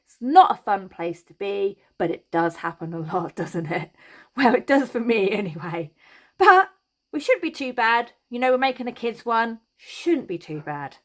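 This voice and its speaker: A woman hosting a crochet tutorial, chatty and reassuring as she talks through each step, her voice a little croaky. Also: fairly high pitch, sing-song, moderate pace. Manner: chatty and reassuring, thinks aloud while working. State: slightly croaky voice.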